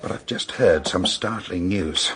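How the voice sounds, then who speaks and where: An actor playing a matter-of-fact British police detective in a radio drama, laying out facts deliberately. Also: male voice, low-pitched, a bit bright, rough recording. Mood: grave, intrigued.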